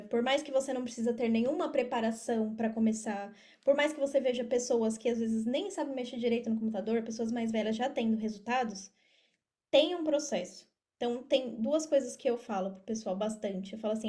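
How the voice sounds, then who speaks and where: High-voiced Brazilian woman explaining casually. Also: dark tone. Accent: Brazilian Portuguese. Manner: explanatory, repeats phrases for emphasis. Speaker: podcast guest, affiliate marketer. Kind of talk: casual interview talk.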